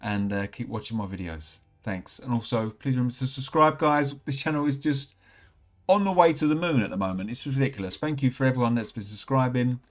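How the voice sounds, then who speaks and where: A man, slightly croaky from a cold, chatting casually and rambling as he opens game packs for his channel. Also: medium pitch, a little fast. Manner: chatty, upbeat, rambling with many fillers. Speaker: gaming channel host. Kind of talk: casual commentary while opening game packs.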